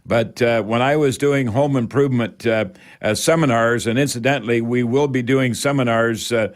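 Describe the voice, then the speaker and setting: A man's voice: medium pitch, fairly even intonation, moderate pace. An older Canadian man hosting a home improvement call-in radio show in a folksy, rambling, emphatic way, speaking straight to his listeners.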